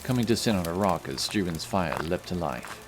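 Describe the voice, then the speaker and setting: A man's voice: deep voice, animated, moderate pace, a little hiss or hum. A man reading scripted fantasy story narration as the narrator of an audio drama. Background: music.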